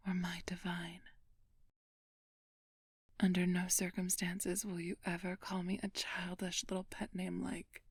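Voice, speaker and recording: Woman doing an ancient vampire lady for asmr roleplay, haughty n flirty, breathy whisper with some gravel, pretty even pitch. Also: no echo, studio quality, exaggerated voice. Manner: slow, teasing, commanding, haughty. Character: flirtatious, imperious ancient female vampire thief.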